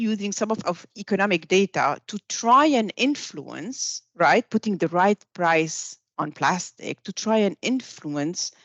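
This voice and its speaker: A woman's voice: lowish voice, no echo. A woman giving an informal explanatory presentation at a webinar. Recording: dull, muffled online-call sound.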